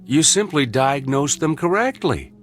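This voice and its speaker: A man's voice: on the high side, big pitch swings, a little slow, faint music behind. An actor playing a skeptical, dry senior male doctor in a radio drama.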